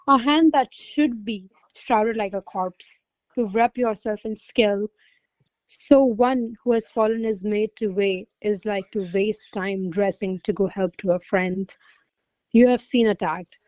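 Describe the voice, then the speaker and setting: A woman acts a proud young lady of rank in a classical radio comedy. Her voice is fairly high and closely, dryly recorded. She speaks in formal period phrases, haughty and teasing toward her servant. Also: darkish tone, dry recording, close to an everyday voice, rough recording. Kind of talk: classical verse stage comedy as radio play.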